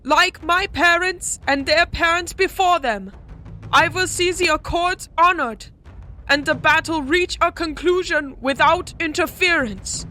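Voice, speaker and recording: Woman playing a high, bright vampire noblewoman. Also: a little slow, faint music behind, heavily acted. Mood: solemn, proud. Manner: imperious, formal diction. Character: regal, stern female vampire noble. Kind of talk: formal ceremonial audio drama acting.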